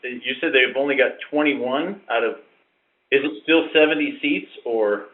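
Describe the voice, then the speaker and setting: A man's voice: mid-range voice, moderate pace. A man with an American accent asking questions in an inquisitive, informal way during a casual phone conversation. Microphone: telephone. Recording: tinny recorded phone call.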